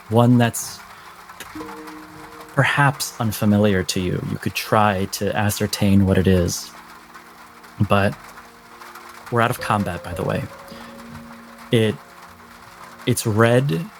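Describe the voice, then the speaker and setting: A man narrating a tabletop game as game master, in a deliberate, suspenseful way with pauses. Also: lowish voice, a little hiss or hum.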